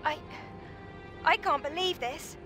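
A woman's voice: sits high, slow pace, music in the background. An actress playing an earnest, emotional young female android warrior in a video game. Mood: shaken. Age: young adult. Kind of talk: video game cutscene acting.

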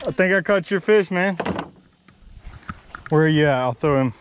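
A young man filming himself fishing at a pond, chatting casually and teasing his brother with excited, laughing commentary. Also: on the high side, normal speed, a little hiss or hum. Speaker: angler filming a fishing challenge. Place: outdoors by a rural pond. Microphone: head-mounted action camera.

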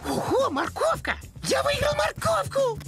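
A woman voicing an excitable, playful young rabbit in a cartoon, exuberant and childlike. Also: very high-pitched, unhurried, over music, full character voice. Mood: gleeful.

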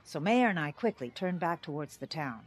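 A woman's voice: sits low, expressive, moderate pace. An actress playing a bitter middle-aged small-town teacher and mother, giving wry, theatrical testimony in an audio drama. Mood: wry, resentful.

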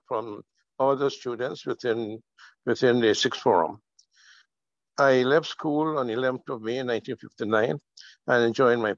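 An older man from Guyana telling his life story on a video call, slow and halting with many fillers. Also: medium pitch, gravelly, a bit bright, no echo. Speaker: guest speaker. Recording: muffled video call audio.

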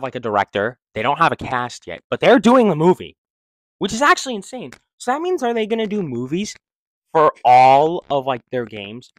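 Excitable young man rambling casually. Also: mid-range voice, dry recording. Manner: rambling, restarts sentences, slangy and excitable. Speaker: vlogger. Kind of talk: casual opinion vlog. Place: living room, seated in armchair. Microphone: handheld mic held close.

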